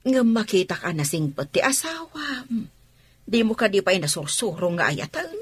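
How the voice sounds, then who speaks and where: A woman playing a chiding but caring older female relative in an Ilocano comedy radio drama, expressive, with an Ilocano accent. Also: mid-range voice, normal speed, breathy edge. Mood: nagging.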